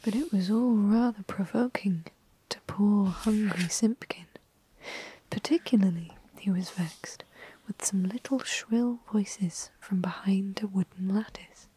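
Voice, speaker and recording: A woman telling a classic storybook tale in a soft, unhurried ASMR whisper. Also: mid-range voice, slight background noise, rough recording.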